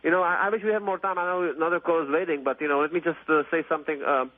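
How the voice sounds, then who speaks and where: Male radio caller, late 30s, slightly high pitch, gushing and repetitive, thin telephone sound. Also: fairly even intonation, rapid-fire, dry recording. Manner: effusive, rambling, repetitive, mildly profane. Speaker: phone-in caller. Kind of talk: casual, opinionated radio call-in chat. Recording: tinny phone line on radio.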